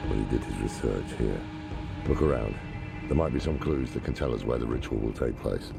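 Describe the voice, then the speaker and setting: Old scholar-warrior for a fantasy game: extremely low, heavily creaky, dry and grim, score underneath. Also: male voice, a little fast, exaggerated voice. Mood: grim, regretful. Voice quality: deep gravelly voice. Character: weary, wry old male scholar-warrior mentor. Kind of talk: dramatic video game acting. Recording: music behind the voice.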